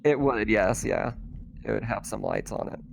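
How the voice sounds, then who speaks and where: A man running a tabletop roleplaying game as game master, describing scenes in a halting, improvised way. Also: on the low side, vocal fry, soft background music.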